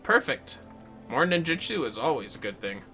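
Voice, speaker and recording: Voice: medium pitch, sing-song, normal speed, sharp tone, soft background music. A gamer giving casual livestream commentary in a playful, reactive, sweary way. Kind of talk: casual game-stream commentary.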